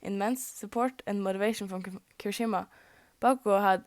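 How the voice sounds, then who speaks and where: A woman, a native Norwegian speaker with a Norwegian accent, reading a fan story aloud in English, haltingly, often tripping over words and rereading them. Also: mid-range voice, no room sound. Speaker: fanfic reader.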